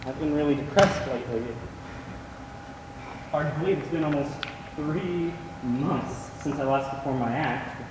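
A man's voice: a little high, unhurried, long pauses, close to an everyday voice. A young man on stage playing a flamboyant, witty, ailing drag queen with campy, projected delivery. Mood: wry, weary. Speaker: stage actor in a school play. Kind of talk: theatrical stage acting. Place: large theatre stage, indoors. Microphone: far camera. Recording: echoey theatre, distant microphone, clear noise.

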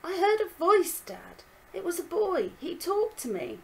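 A defiant, tearful young girl, as a woman reads her out from a storybook. Her voice swings widely as it climbs from pleading to shouting, with a faint hiss underneath. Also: very high voice, sing-song, measured pace, gravelly, voice pushed up, full character voice, rough recording. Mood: angry.

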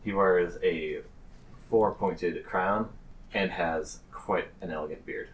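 A man runs a tabletop game in a low voice. He narrates slowly and haltingly, with amused asides, sitting a little back from the mic. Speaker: game master. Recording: slightly distant mic, faint noise.

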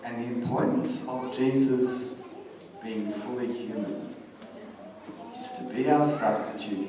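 Echoey, distant male preacher. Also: fairly low pitch. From Australia. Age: middle-aged. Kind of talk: sermon with scripture readings. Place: church stage, indoors. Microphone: stand mic at lectern, heard from far camera. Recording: distant, very echoey church hall recording.

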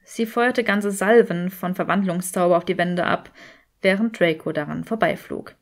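A woman reading a story as an audiobook narrator, in an expressive scripted style. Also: fairly low pitch, moderate pace, gravelly.

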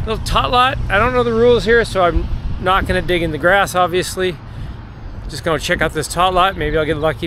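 Middle-aged guy talking into an action cam outside in a park, bit of a high voice, light traffic noise behind. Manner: relaxed, thinking aloud with many fillers. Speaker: metal detecting vlogger. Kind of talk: casual on-the-go narration. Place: outdoors in parks and playgrounds. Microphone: action camera held or chest-mounted.

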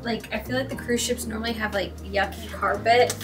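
Young female vlogger, a touch high-pitched, bubbly and casual, over background music. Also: steady tone, brisk. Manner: bubbly, showing things off. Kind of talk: casual travel vlog chat. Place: aboard a cruise ship, in a cabin.

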